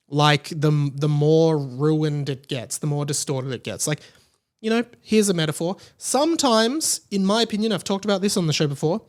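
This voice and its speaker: A man with an Australian accent hosting a podcast alone, talking casually in a rambling, conversational way. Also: a little high. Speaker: podcast host. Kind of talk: casual solo podcast talk.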